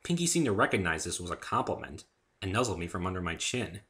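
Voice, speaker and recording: Low, bright-voiced man narrating a story. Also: big pitch swings, fast. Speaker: author reading own story. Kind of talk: dramatic reading, first-person story narration.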